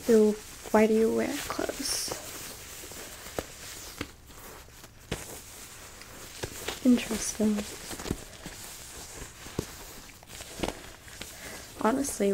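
A woman playing a haughty, curious alien examiner in a whispered ASMR roleplay, musing slowly and clinically with blunt asides. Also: fairly high pitch, noisy background. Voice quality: soft, breathy whisper. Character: curious, easily disgusted, haughty alien abductor examining a human.

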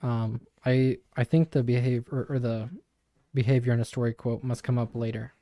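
A young man co-hosting a podcast, explaining ideas in a thoughtful, measured, conversational way. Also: mid-range voice, level tone, warm tone, no echo. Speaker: podcast co-host. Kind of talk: casual explanatory discussion.

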